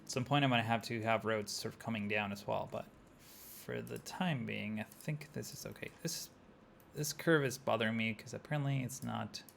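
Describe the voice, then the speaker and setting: Young argentinian guy streaming a city builder, gravelly voice, rambly, faint hiss behind. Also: lowish voice, moderate pace. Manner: rambling, chatty, talks to chat, many "like" fillers. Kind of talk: casual gameplay commentary.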